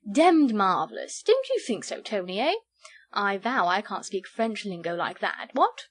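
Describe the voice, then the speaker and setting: A woman's voice: mid-range voice, sing-song, measured pace, slightly rough. Woman acting a drawling, foppish English baronet. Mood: bewildered wonder. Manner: drawling, inane, affectedly bland. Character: foppish, lazily good-humoured English baronet, a tall man. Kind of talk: storybook character voice.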